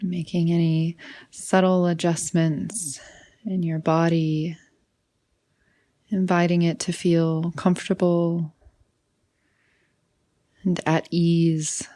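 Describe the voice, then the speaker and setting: A woman leading a guided meditation in a soothing, unhurried manner, often trailing off into breathy softness. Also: on the low side, little pitch movement.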